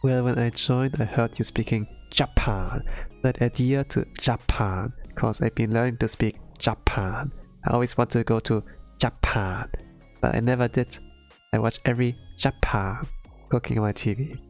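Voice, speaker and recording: A man's voice: medium pitch, steady tone, normal speed, soft background music. A young man from Germany with a German accent, chatting casually on his art stream and rambling with lots of fillers. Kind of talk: casual chat while drawing on stream.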